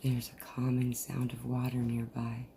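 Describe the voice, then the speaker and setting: Softly dark, gravelly guided meditation voice. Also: mid-range voice, completely flat delivery. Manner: soothing, deliberate, with long pauses. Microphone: video call. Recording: noisy, muffled group video-call audio.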